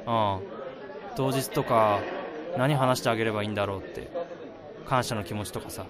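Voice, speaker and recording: A man's voice: fairly low pitch, steady tone, normal speed, close to an everyday voice. A man acting in a radio drama as an easygoing married friend of a groom, around thirty, casual and bantering. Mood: reflective, sheepish. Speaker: lead actor and narrator. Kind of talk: radio drama acting with first-person narration. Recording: busy crowd noise behind the voice.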